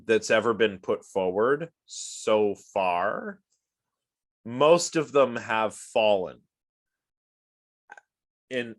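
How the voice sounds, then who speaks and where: A man teaching a class from home over a video call, his voice sitting a little low. He is sniffly from allergies and talks loosely, with frequent fillers and restarts as he answers the chat. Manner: rambling, with many fillers and restarts. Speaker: lecturer. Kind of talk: casual online lecture, answering chat.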